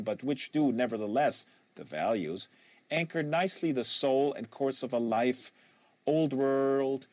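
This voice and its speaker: A man narrating literary fiction aloud in an expressive, deliberate manner with emphatic phrasing. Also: mid-range voice, no echo. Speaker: audiobook reader hosting a live reading series.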